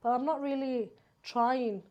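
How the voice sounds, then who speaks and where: Mellow Australian woman in casual conversation. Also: sits high, measured pace, dull audio. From Sydney, Australia. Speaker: podcast guest, makeup artist.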